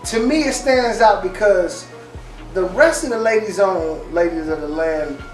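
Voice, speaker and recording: A woman hosting a casual interview, laid-back and slangy, asking questions and dropping quick affirmations. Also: mid-range voice, very echoey, far from the mic, soft background music, poor audio. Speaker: radio host and interviewer.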